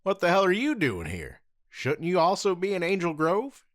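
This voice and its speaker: An earnest young hero, as a narrator puts him on, pleading and urgent, pitched well above the narrator's own voice with lively swings. The recording is dry and close. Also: male voice, moderate pace, no room sound, full character voice. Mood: annoyed.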